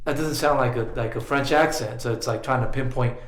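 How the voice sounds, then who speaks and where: A man's voice: mid-range voice, brisk. A young man hosting a podcast in casual chat, joking and finishing his guest's sentences. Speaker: podcast host. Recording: echoey room.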